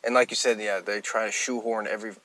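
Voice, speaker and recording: A man co-hosting a podcast, talking in a relaxed way and laughing between points. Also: on the low side, fast talker. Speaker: podcast co-host. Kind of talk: casual chat.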